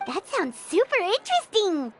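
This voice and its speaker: A woman voicing a tiny, chirpy, curious fairy companion in a video game, squeaky and childlike. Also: sing-song, moderate pace, background noise. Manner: speaks of herself in third person, exclaiming. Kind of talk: scripted video game dialogue.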